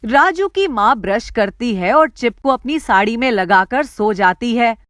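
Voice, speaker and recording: A woman's voice: fairly high pitch, expressive, measured pace, fairly bright tone, no room sound, studio quality. A woman reading scripted story narration in a storyteller's role. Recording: music behind the voice.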